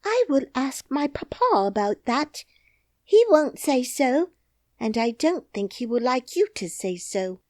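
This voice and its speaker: Woman as little princess, calm, firm, high voice, dry recording. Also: unhurried, no room sound. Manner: quiet, composed, firm. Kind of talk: audiobook character voice.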